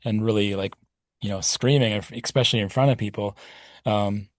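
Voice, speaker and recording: A man's voice: on the low side, steady tone, brisk, dry recording, studio quality. A middle-aged man speaking as a podcast guest, reflective and rambling with plenty of fillers. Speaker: podcast guest, punk musician. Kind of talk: casual interview talk.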